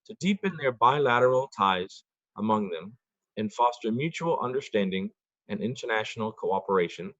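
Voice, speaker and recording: A middle-aged man from Boston delivers prepared remarks over a video call, taking his time and pronouncing each word clearly. His voice sits mid-range, and the audio is dull and dry, with little room sound. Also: mid-range voice, fairly bright tone, dry recording. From Boston, United States. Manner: deliberately slow and clear. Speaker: foundation board member presenting.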